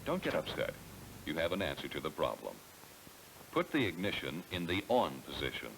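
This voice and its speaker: A noisy 1970s training film soundtrack carries an American man with a low-pitched voice. He reads scripted instructions in a measured, explanatory way, speaking straight to the listener with lively rises and falls in his intonation. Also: vocal fry. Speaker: narrator of a car sales training film.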